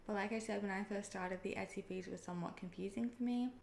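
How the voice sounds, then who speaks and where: A young woman with an Australian accent walks through her topic in a relaxed, chatty vlog style. Her voice has a gravelly, fried edge at a middle pitch. She is recorded in an echoey room with a faint background hiss. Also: fast. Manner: friendly, chatty, with frequent "um" and "personally". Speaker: online seller and video creator. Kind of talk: casual explainer vlog.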